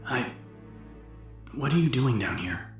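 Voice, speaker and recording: A man puts on an earnest young male police officer for an audiobook drama. He sounds wary and pushes his voice higher and louder. Faint music plays underneath. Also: animated, takes it slowly, reverberant. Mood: wary, questioning. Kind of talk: audiobook drama character dialogue.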